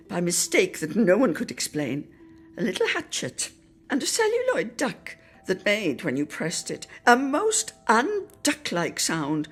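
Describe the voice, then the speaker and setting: Female reader for radio drama piece: theatrical, very animated pitch, unhurried, lingering emphasis, instrumental music underneath. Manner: theatrical, expressive delivery with drawn-out emphasis. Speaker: reader in a radio players' production. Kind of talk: dramatic literary reading of a Christmas reminiscence.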